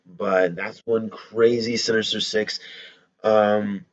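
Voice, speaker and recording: A hoarse young man hosting a casual trailer reaction, breaking into excited rapid exclamations. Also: fairly low pitch, dull audio. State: hoarse from yelling.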